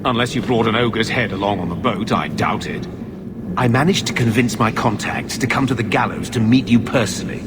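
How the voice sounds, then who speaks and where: An actor playing a gruff, jaded older man in a fantasy video game, blunt and grudging. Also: lowish voice, brisk, heavy background noise, lightly acted.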